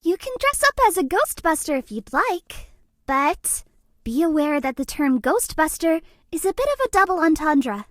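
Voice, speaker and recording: Very high-pitched, shy ghost girl. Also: very animated, normal speed, no room sound, clean studio sound. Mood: playful, chatty. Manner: cutesy, bashful, gushing, with hesitant breathy asides. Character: shy, sweet, lovestruck young ghost girl. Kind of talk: dating game character voice lines.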